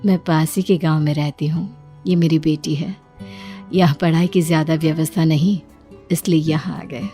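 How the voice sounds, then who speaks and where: A woman's voice: deep voice, steady tone, moderate pace, mellow, faint music behind, natural voice. A woman voicing a self-assured, cryptic newcomer mother in a cartoon story. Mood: calm, cryptic.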